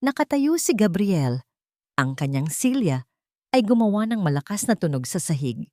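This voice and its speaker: A woman's voice: medium pitch, sing-song, normal speed, darkish tone, dry recording, clean studio sound. A woman with a Filipino accent reading a scripted, dramatic romance story as a storyteller.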